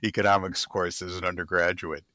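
A male podcast host introduces his guest and leads the interview. His fairly low voice has a bright edge and sounds close and dry. He listens attentively and keeps things moving with short questions and mm-hmms. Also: little pitch movement, a little fast, no echo.

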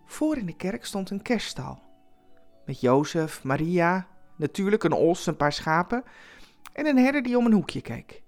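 A woman's voice: fairly low pitch, big pitch swings, measured pace, darkish tone, faint music behind. A woman storyteller reading a scripted Christmas tale as dramatic narration.

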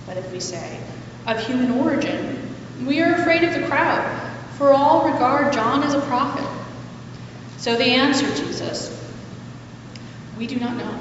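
A woman's voice: sits high, lively intonation, measured pace, pauses often. Woman reading scripture in a very echoey hall. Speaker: scripture reader at a church service. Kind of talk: formal scripture reading aloud. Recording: very echoey hall, distant mic, noisy, muffled.

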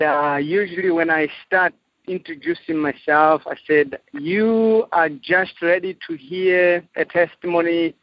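A man from Zimbabwe, a missionary guest, telling his testimony in a slow, measured way with frequent pauses. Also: a little high, no echo. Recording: dull, dry audio.

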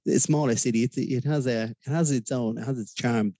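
A man's voice: medium pitch, fairly quick, dark tone, dry recording. A man with a Dublin Irish accent as a podcast guest, talking in a rambling, hesitant way with many fillers. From Ireland. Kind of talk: casual interview chat.

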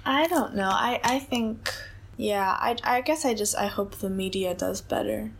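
A woman's voice: medium pitch, a little slow, creaky. A young woman from Hong Kong, an interview guest speaking in a reflective, conversational way with lots of hedging and filler words. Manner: thoughtful, many hedges and fillers like "like" and "I think".

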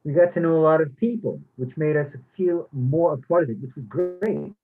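A man reading as a friendly small business owner in a plain, measured way. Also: fairly high pitch, slightly rough, phone-quality sound, soft background music. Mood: warm. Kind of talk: readers theatre monologue.

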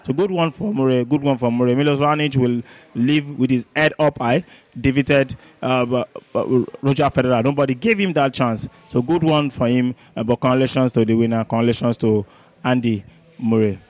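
A man on a sports podcast panel talking casually, in a halting way, repeating words and phrases for emphasis. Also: mid-range voice, darkish tone, no echo.

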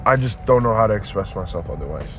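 Young man, low voice, reflective. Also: animated, fast talker, faint music behind. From Dubai. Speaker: rapper, interview guest. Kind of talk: casual interview talk.

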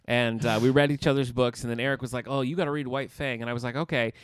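A man's voice: mid-range voice, steady tone, quick pace, smooth, dry recording. A man in his thirties co-hosting a casual chat, joking and rambling with filler restarts. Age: 30s. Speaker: podcast co-host.

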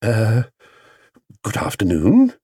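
A man voicing a proper, easily embarrassed husband in a children's storybook, halting and doubtful. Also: a little high, huge pitch swings, a little mellow, slightly rough, dry recording, studio quality, pitched above the performer's own voice, heavily acted.

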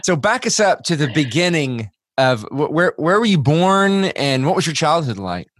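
A man from West Tennessee hosting a conversational interview show, talking haltingly with many fillers and restarts. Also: a little high, very animated, no echo, studio quality. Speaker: podcast host.

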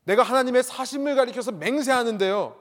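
A male pastor with a notably high voice delivers a sermon, leaning hard on key points and repeating them. He poses rhetorical questions to the congregation. His voice rings out with the long reverb of a church hall. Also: fast talker.

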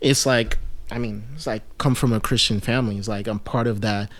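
A man hosting a casual interview podcast, rambling with many fillers and restarts and lively asides. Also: lowish voice, fairly quick, dry recording. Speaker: podcast host.

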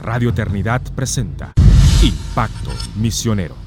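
A man's voice: lowish voice, normal speed, over loud music. A man announcing a radio program intro in a scripted voice. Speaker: station announcer.

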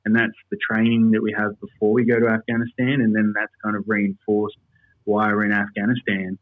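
A man's voice: on the low side, monotone, a little fast, dry recording. An Australian man with an Australian accent speaking earnestly and explaining things as an interview guest over a remote call. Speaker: interview guest, military veteran. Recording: dull lo-fi call audio.

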